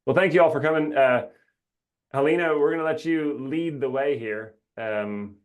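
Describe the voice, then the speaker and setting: A man's voice: fairly high pitch, normal speed. Upbeat chatty man hosting a webinar. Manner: upbeat, rambling, laughs often. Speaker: webinar host. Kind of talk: casual chatty webinar welcome.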